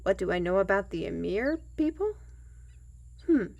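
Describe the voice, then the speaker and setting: A woman's voice: mid-range voice, very animated, moderate pace, creaky, a little mellow, muffled recording. A woman playing a humble, plain-spoken fantasy trail guide and storyteller in an audio roleplay, with folksy, old-fashioned and hesitant phrasing. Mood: musing. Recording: music bed behind the voice.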